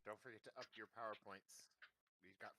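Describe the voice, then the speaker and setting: A man's voice: fairly low pitch, fairly even intonation, brisk, pushed and bright, rough recording. A man playing a tabletop role-playing game, chatting casually and jokily with the group. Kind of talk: casual, jokey table chat.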